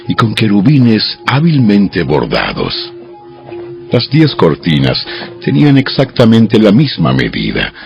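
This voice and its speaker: A man narrates dramatized scripture with an extremely low, gravelly voice. His delivery is measured and solemn, like formal storytelling. Music plays softly behind a slightly muffled recording. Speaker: Bible narrator. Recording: dull lo-fi audio over music.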